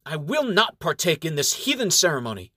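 A man voicing an indignant, pious male monk who protests in a high, outraged voice. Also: very animated, brisk, bright, forceful tone, dry recording, studio quality, full character voice. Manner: high, shrill, outraged pleading.

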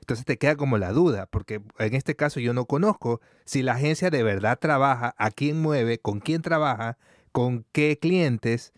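Podcast host from ecuador, mid-pitched man, chatty, keeps saying yeah, dry sound. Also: normal speed, no echo. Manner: chatty, frequent affirming back-channels. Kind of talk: casual podcast interview.

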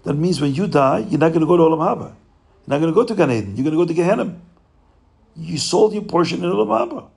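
A stern, insistent wife who scolds her husband and won't back down, as a male storyteller puts her on. The gravelly voice stays loud and forceful, with pitch that rises and falls widely. A folk storybook figure. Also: very animated, brisk, full character voice. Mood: angry, insistent. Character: angry innkeeper's wife, adult woman, stern and insistent.

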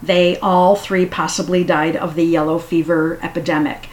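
A woman giving a casual spoken history talk, unhurried and halting with frequent fillers. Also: fairly low pitch, level tone, darkish tone. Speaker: history storyteller.

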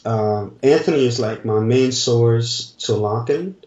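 A man in his forties speaks in a slow, halting way, often stopping to find the right word. His voice comes through a distant, roomy remote connection. The audio is dull and muffled. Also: mid-range voice, darkish tone. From Denmark. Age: 40s. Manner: slow, halting, searching for words. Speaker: dancer guest. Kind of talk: casual interview answers.

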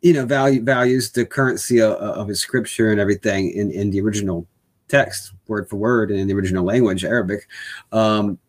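A man's voice: fairly low pitch, a little fast. A man in casual conversation, speaking hesitantly with many fillers and restarts.

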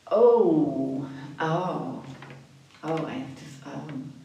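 A woman's voice: deep voice, lively intonation, drawn-out words, vocal fry, mellow, heavy reverb, far from the mic, a little hiss or hum. A Dutch woman in her fifties, chatty, answering interview questions and often restarting her phrases. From Netherlands. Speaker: after-school activities coordinator.

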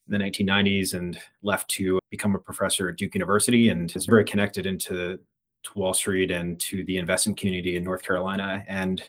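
A man in his thirties from the Philadelphia area, a fund manager talking as a podcast guest in a relaxed, conversational way that often restarts phrases. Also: sits low, quick pace. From United States (Philadelphia area). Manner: conversational, frequent restarts and repeated words. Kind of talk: podcast interview.

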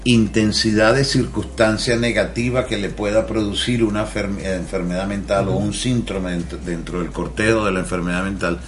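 Radio guest, male, Venezuelan accent, very low voice, earnest explainer, faint noise behind. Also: steady tone, normal speed, few pauses. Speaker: guest on mental health. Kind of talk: radio interview.

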